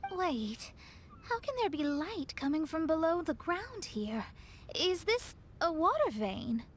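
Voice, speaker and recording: A woman's voice: extremely high pitch, very animated, slow, slight background noise, exaggerated voice. A woman playing a prim, easily flustered young woman astrologer in a video game. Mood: prim, puzzled.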